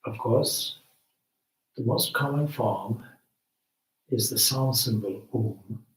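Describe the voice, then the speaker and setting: A man leading a guided meditation as a spiritual teacher, speaking in measured, deliberate short phrases with long pauses. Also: mid-range voice. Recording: roomy echo, distant microphone.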